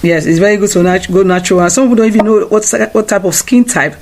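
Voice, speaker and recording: Woman radio presenter, medium pitch. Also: quick pace, crowd noise. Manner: restarts phrases, gives emphatic advice. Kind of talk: casual radio interview chat.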